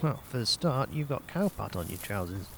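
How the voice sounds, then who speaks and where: A man playing a cheeky, bossy young schoolmate in an audio drama, teasing and quick to wriggle out of blame. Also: lowish voice, big pitch swings, vocal fry, noisy background. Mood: cocky, teasing.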